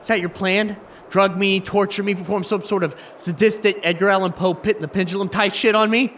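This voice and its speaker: A man's voice: high-pitched, fairly even intonation, brisk, a bit bright, slight background noise. An actor playing a desperate, panicky young man who stammers and rambles in a horror audio drama. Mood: shaken, rambling. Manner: stammering, frantic.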